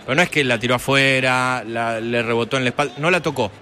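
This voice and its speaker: A man giving live football commentary on the radio, animated and building emphasis, stretching his words when he calls a goal. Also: medium pitch, quick pace.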